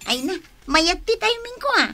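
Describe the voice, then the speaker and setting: A woman's voice: very high-pitched, big pitch swings, moderate pace, pushed and bright, exaggerated voice. A woman acting in a radio drama as a helpful, questioning younger woman of the family, with an Ilocano accent. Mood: puzzled, eager.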